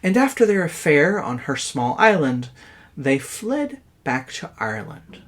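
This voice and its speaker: A man's voice: on the high side, very animated, unhurried. A man narrating horror stories as host, in a dramatic, theatrical scripted style.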